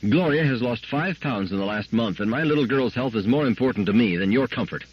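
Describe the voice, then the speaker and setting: A man reading a story aloud, voicing a firm, brisk mother who speaks in a clipped, grim way. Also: medium pitch, animated, husky, highs cut off, pitched above the performer's own voice. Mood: stern. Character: stern, firm mother, middle-aged wife.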